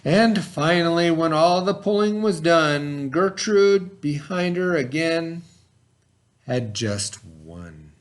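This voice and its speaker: A man with a fairly high voice reads a rhyming children's story aloud. He takes his time with dramatic pauses, and his pitch rises and falls widely in a theatrical way. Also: expressive. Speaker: storybook reader.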